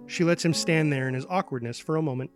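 A man's voice: on the high side, fast talker, over music. A man narrating a screenplay's stage directions in a dramatic reading.

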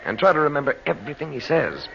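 Calm take-charge uncle in old radio serial, expressive pitch, dull recording with music behind. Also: male voice, vocal fry, slight background noise, exaggerated voice. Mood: quietly serious. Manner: measured, reassuring, authoritative. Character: calm commanding uncle, American adventurer back from India. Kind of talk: 1940s radio acting.

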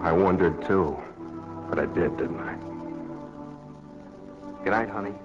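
An actor playing a wry, tender, self-doubting bachelor in 1940s radio drama style. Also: male voice, low-pitched, measured pace. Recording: muffled 1940s radio broadcast, music behind.

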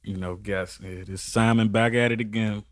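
A man with a fairly low voice chats as a recurring podcast guest. His talk is informal and slangy. The sound is dry, with very little room on it. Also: steady tone, normal speed, dry recording. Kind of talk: casual chat.

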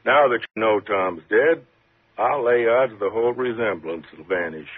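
An actor playing a calm, measured, patronizing prison warden in a 1950s radio thriller. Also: male voice, fairly low pitch, breathy edge. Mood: reassuring. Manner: measured, reassuring, condescending. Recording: muffled, lo-fi 1950s radio recording.

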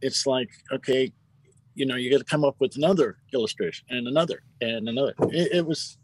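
A man's voice: mid-range voice, a little fast, a little hiss or hum, rough recording. A man guest on a casual podcast, speaking in an easygoing, hesitant way.

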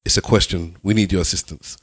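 A man's voice: deep voice, fast, husky, dry recording, studio quality. A man, co-hosting a podcast, telling stories and arguing his point with emphasis. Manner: emphatic, argumentative, animated storyteller. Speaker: podcast co-host. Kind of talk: casual banter and storytelling.

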